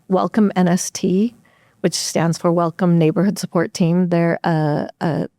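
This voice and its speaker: A woman's voice: on the low side, dark tone, no room sound, studio quality. A woman talking as a guest on a podcast, explaining her nonprofit's work in an earnest, upbeat way with occasional restarts. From United States. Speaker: executive director of a refugee nonprofit, podcast guest. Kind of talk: conversational podcast interview.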